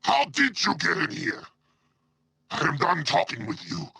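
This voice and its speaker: A brutal monster warlord from a fantasy game, growling in a heavily gravelled, sharp-edged voice. He draws out his boastful threats slowly and with contempt, in a very dry, close recording. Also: fairly high pitch, huge pitch swings, no room sound, heavily acted. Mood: furious. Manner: menacing, contemptuous, slow, drawn-out threats. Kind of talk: video game villain voice acting.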